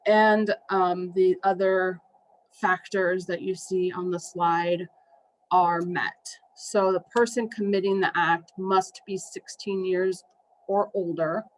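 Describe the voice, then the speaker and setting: A woman's voice: on the low side, fairly even intonation, slow, a little hiss or hum, poor audio. A woman with an American accent presenting a legal training, speaking with ums and an occasional wry remark. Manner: wry, with brief laughs and ums. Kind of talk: slide-based legal training.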